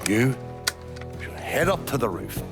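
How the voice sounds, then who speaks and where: An actor playing a bitter, sardonic, foul-mouthed Irish bootlegging mobster in a video game cutscene. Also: male voice, mid-range voice, very animated, measured pace. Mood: threatening. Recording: loud background music.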